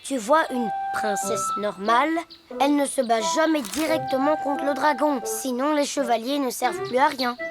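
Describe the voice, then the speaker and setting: A woman's voice: high voice, normal speed, close to an everyday voice. A woman voicing a kind, earnest little boy hippo in a children's cartoon, boyish and given to explaining things. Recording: music behind the voice.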